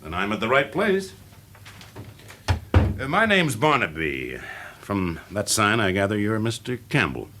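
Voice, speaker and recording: An actor playing a shrewd, wry fire investigator with a British accent in a classic radio drama, explaining things drily. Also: male voice, lowish voice, sing-song, measured pace, long pauses, noisy background. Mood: politely pleading. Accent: British English.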